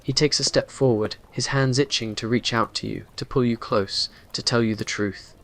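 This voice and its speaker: A man's voice: mid-range voice, fairly even intonation, normal speed, vocal fry, a bit bright. A man reading scripted romantic roleplay narration in an ASMR story style.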